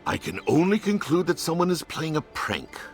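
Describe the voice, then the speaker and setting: A man voicing a kindly, formal martial arts elder in a video game, measured, courteous and earnest. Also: on the high side, sing-song, faint music behind. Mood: grave, earnest.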